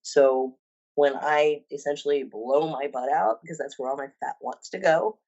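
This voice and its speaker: A woman's voice: deep voice, moderate pace, darkish tone, muffled recording. A woman in her fifties, a personal trainer and bodybuilder, talking casually as a podcast guest with many ums and restarts. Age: 50s.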